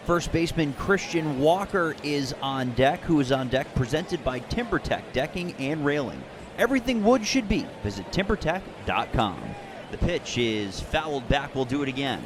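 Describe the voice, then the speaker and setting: A man calling baseball play-by-play in a descriptive, measured radio broadcast style. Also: mid-range voice, background noise. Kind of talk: live radio sports commentary with sponsor reads.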